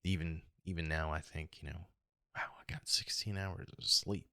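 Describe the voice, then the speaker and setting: A middle-aged American man with a hoarse, gravelly voice, talking alone in a reflective, halting and rambling way as a casual podcast host. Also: low voice, steady tone, bright, forceful tone, no echo, studio quality. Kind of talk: casual solo podcast monologue.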